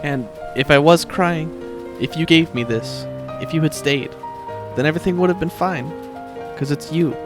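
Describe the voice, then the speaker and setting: A man's voice: on the high side, moderate pace, music in the background, voice pushed up, lightly acted. A man voicing a shy, sincere young man in love for a fan fiction story, sheepish and tender in his delivery. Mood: heartfelt.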